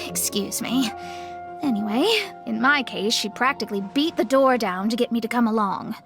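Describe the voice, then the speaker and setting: Exasperated high-voiced young astrologer girl. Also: normal speed, gravelly, over music. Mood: flustered. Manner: exasperated, dry, no-nonsense. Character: proud, exasperated young astrologer girl, embarrassed straight-talker. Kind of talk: anime game voice acting.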